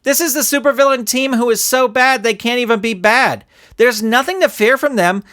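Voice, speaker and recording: A man doing a high-voiced, blunt little girl. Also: moderate pace, bright, forceful tone. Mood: scornful, defiant. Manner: interrupting, matter-of-fact, scornful. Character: blunt, fearless skinny schoolgirl in thick glasses.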